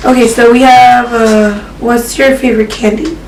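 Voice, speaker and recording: A woman's voice: on the high side, normal speed, distant mic. Young woman, casual vlog host, laid-back, fillers, roomy sound, light hiss. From Peru. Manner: relaxed, chatty, with many fillers. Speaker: vlogger co-host. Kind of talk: casual Q and A chat.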